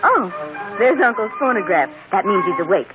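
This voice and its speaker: A woman's voice: mid-range voice, big pitch swings, moderate pace, loud music behind the voice, close to an everyday voice. An actress playing an anxious, clinging young woman in a vintage radio drama. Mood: gracious. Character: anxious young female conspirator, clinging, outwardly gracious. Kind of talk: 1940s radio acting. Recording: very noisy, tinny old radio broadcast.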